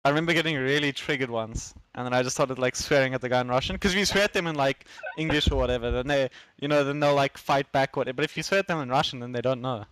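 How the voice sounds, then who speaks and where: A man's voice: mid-range voice, rapid-fire, hardly any pauses, a bit bright, no echo, rough recording. A young man with a South African accent chatting casually as a podcast guest, in a hesitant, repetitive, slangy way. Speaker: podcast guest, esports player. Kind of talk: casual chat.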